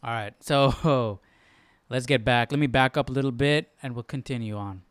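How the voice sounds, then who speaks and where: Male host, casual live commentary, medium pitch, clear voice, thinking aloud, dry sound. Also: normal speed, dry recording. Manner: informal, thinking aloud, checks with "right?".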